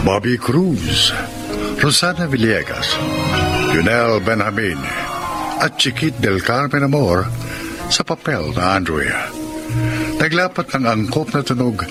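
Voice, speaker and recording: Male program announcer, slow lively delivery, over loud music. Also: medium pitch. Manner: slow, measured, moralizing. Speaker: radio drama announcer. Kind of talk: scripted program announcing and credits. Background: loud background music.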